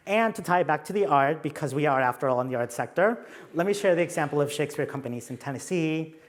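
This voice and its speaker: A man's voice: fairly high pitch, animated, fast talker. Playful Mexican-accented man presenting. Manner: playful, self-deprecating asides. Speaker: conference presenter. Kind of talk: conference talk with slides.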